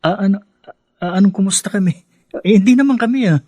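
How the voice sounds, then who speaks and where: A man's voice: high-pitched, fast talker, mellow, no room sound. A man playing a humble, bashful young man in a Filipino radio drama. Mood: flustered. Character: humble, bashful poor young man striving for his family.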